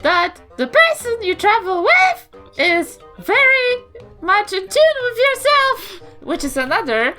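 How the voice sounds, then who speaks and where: A woman voicing an excitable, bossy old granny adventurer in tabletop role-play, with drawn-out, emphatic calls and a New Zealand accent. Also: faint music behind, heavily acted. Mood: excited, imploring. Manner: shrill, drawn-out, emphatic calling.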